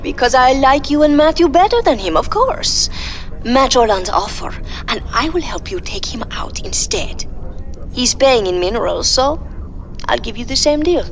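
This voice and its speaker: A woman's voice: high-pitched, very animated, normal speed, faint music behind. An actress playing a flirtatious, sly mercenary boss in a video game, coy and theatrical in her delivery. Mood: teasing.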